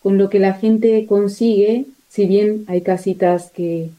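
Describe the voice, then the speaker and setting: A woman's voice: mid-range voice, steady tone, slow, dull audio. A woman missionary nun giving a spontaneous interview account, often hesitating and searching for words.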